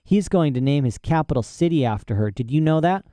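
Man doing an aggrieved, boastful old academic, pitched up a touch, rambling. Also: lively intonation, fairly quick, mellow, no echo, studio quality, close to an everyday voice. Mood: conspiratorial. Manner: boastful, ranting, rhetorical "eh?" asides. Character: old imprisoned male professor, indignant and rambling. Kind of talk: audiobook character voice.

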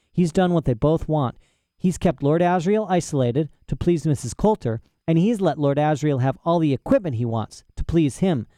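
A man's voice: fairly high pitch, mellow, no room sound, studio quality, pitched above the performer's own voice, close to an everyday voice. A man voicing an indignant, rambling old male professor who is locked in a cell, ranting boastfully with "eh?" asides. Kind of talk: audiobook character voice.

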